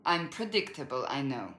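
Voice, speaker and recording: Focused female ally, very low pitch, self-aware, video game dialogue, roomy sound. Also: fairly bright tone, heavily acted. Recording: echoey room, faint noise.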